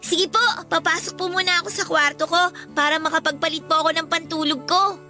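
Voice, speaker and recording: A little Filipino girl with a very high, piercingly bright voice. She is sweet, eager and polite, a grateful daughter in a radio drama, with soft music underneath. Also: steady tone, brisk. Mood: affectionate.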